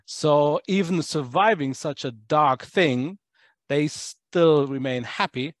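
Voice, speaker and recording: A man's voice: fairly high pitch, slow, no room sound. A man from Poland, talking casually as a podcast guest, hesitant with many fillers and restarts. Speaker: podcast guest, tour guide.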